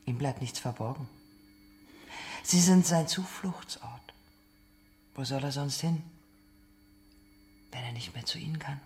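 An actor playing a relentless, accusing interrogator in a German radio drama, speaking in short pointed phrases. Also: male voice, mid-range voice, unhurried, long pauses, darkish tone, natural voice. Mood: probing, controlled.

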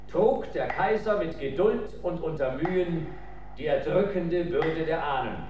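An actor in a radio drama playing a ceremonial herald who declaims a regent's decree with pompous solemnity. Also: male voice, a little high, roomy sound, muffled recording, noisy background. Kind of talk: German radio drama acting.

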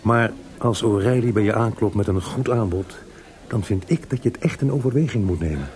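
Man acting calm, lower-voiced senior composer. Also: lightly acted. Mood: reassuring. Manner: measured, reassuring. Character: Haydn, calm, kindly senior composer mentor. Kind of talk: Dutch radio play acting.

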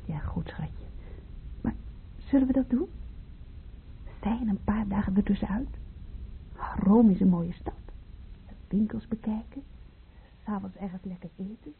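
A woman's voice: medium pitch, a little slow, long pauses, close to an everyday voice. An actress playing a caring, practical female friend who coaxes and comforts in a Dutch radio play. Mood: soothing. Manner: coaxing, comforting, gently chatty. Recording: tinny, noisy old radio recording.

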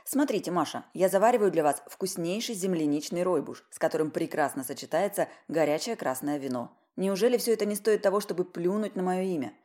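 A woman's voice: lowish voice, fairly quick, few pauses, warm tone, natural voice. A woman voicing a confident, teasing, friendly man of about forty in an audiobook story, with a playful, coaxing manner. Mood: charming, persuasive.

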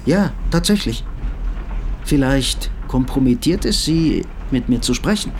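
A man's voice: mid-range voice, animated, unhurried, a little mellow, slight background noise. Bitter tired man on the run, formal n dry, radio play, train ambience behind him. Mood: polite, guarded. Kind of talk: German radio play dialogue.

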